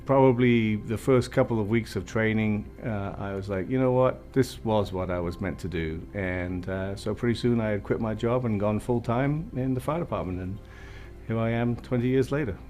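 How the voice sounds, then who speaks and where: A middle-aged man from Wales with a slight Welsh accent, a fire chief speaking in a measured, reflective way in an interview. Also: lowish voice, darkish tone, faint music behind. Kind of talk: interview talk.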